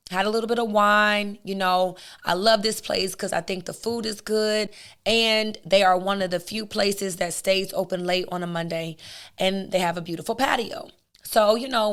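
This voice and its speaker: A woman with a hoarse, raspy voice, hosting her own podcast in a casual, outspoken monologue that talks straight to her listeners. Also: mid-range voice, fairly bright tone. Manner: chatty, outspoken, addresses listeners directly. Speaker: podcast host.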